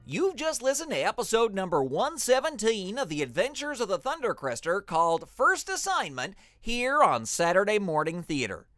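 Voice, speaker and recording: A man's voice: sits high, very animated, moderate pace, hardly any pauses, a bit bright, soft background music. A man hosting a podcast, reading scripted announcements in an upbeat show-host style.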